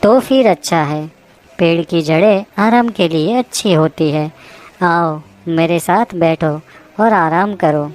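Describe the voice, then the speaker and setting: A woman voicing a gentle, self-sacrificing mango tree in a children's cartoon story, affectionate and apologetic. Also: fairly low pitch, takes it slowly, faint music behind. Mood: tender.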